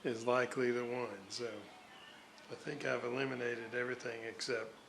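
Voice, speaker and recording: A man giving an unscripted workbench walkthrough of his repair, halting and thinking aloud as he goes. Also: mid-range voice, flat delivery, vocal fry. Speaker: hobbyist radio restorer narrating his repair. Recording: roomy echo, background noise, uneven level.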